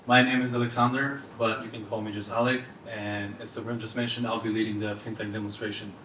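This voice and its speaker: Young male presenter, Eastern European accent, flat intonation, live event delivery, picked up from afar in a roomy space. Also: on the low side, fast talker. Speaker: fintech presenter. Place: indoor event room, speaking to audience. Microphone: handheld microphone heard from far off. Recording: roomy, distant event-hall sound with noise.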